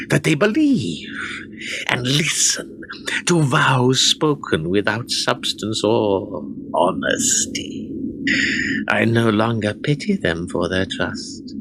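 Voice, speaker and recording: An actor playing a cold, bitter young medieval lord in a radio play, declaiming in archaic speech with brooding pauses. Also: male voice, mid-range voice, noisy background.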